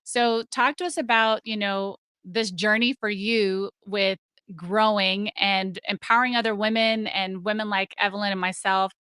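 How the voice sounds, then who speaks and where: A woman's voice: mid-range voice, fairly even intonation, moderate pace, bright, forceful tone, smooth, dry recording. A woman hosting an interview in an upbeat way, with plenty of "you know" and "um". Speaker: host.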